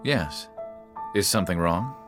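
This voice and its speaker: A man's voice: deep voice, expressive, unhurried, over music. A man playing a stern, solemn young rebel leader in a video game, serious and terse.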